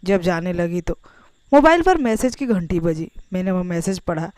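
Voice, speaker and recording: A woman's voice: on the low side, sing-song, normal speed, a little mellow, no echo. A woman reading a scripted, first-person emotional story as its narrator.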